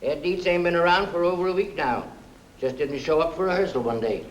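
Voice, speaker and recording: A man's voice: a little high, a little fast, muffled recording, lightly acted. An actor playing a matter-of-fact man giving a report in a 1950s noir film, terse and factual. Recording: echoey, faintly noisy film soundtrack.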